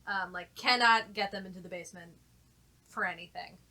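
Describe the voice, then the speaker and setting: A woman's voice: medium pitch, brisk, pushed and bright. A woman chatting casually and telling personal stories in a frank, sweary way. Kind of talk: casual chat and personal storytelling.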